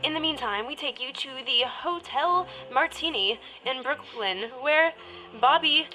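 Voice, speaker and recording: Female, bright high voice, acting as a flighty, flirty announcer in a radio comedy parody. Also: lively intonation, measured pace, faint music behind, exaggerated voice, poor audio. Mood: flustered. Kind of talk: parody of 1930s radio drama.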